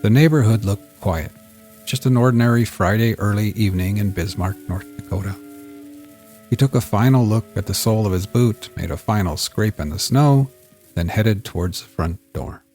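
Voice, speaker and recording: A man reading scripted crime fiction in a measured, audiobook-style storytelling delivery. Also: on the low side, darkish tone. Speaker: narrator of a serialized crime story. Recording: music bed under the voice. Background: music.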